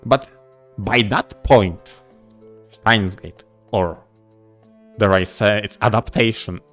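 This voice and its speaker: A man co-hosting a podcast in casual discussion, speaking in a deliberate, halting way. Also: on the low side, a bit bright, soft background music. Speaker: podcast co-host. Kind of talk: casual discussion of visual novels.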